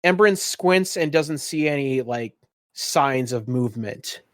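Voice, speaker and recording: A man improvising scene descriptions as game master of a tabletop game, halting as he goes and using ornate wording. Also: mid-range voice, lively intonation, fairly bright tone, dry recording, studio quality.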